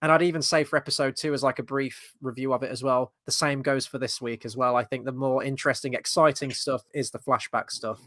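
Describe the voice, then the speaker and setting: A man's voice: mid-range voice, fairly even intonation, a bit bright, no echo. Thoughtful young man, dry close sound. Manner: measured, hesitant, thoughtful. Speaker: co-host. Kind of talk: casual chat.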